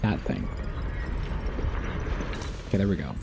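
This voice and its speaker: A man's voice: low-pitched, very animated, unhurried, gravelly, background noise. A man streaming a game, giving casual, offhand, muttered reactions to the story.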